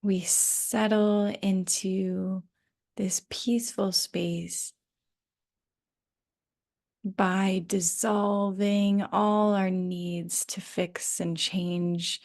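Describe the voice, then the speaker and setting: Slow, creaky-voiced woman guiding meditation. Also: mid-range voice, level tone. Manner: gentle, unhurried, with long pauses. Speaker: yoga nidra guide. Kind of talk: guided rest meditation.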